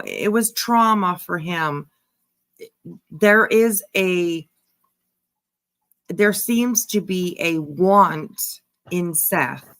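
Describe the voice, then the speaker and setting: A woman host giving casual reaction commentary, musing in a halting, repetitive, emphatic way. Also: fairly low pitch, a bit bright. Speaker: host giving commentary on a true-crime case.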